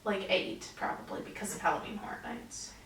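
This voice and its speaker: Woman, young, chatty, laughs often, medium pitch, set back from the mic. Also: expressive, a little fast, a bit bright, recorded from a distance. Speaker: podcast co-host. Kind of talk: casual chat. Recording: echoey room, voice off-mic.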